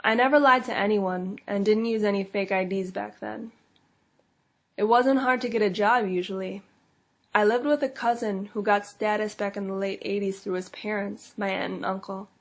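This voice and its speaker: A woman in her thirties voicing a frightened undocumented immigrant mother, telling her story in a quiet, earnest first person. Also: mid-range voice, fairly even intonation, normal speed, lightly acted. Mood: earnest, fearful. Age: 30s. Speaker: case-study reader. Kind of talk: scripted first-person case narration.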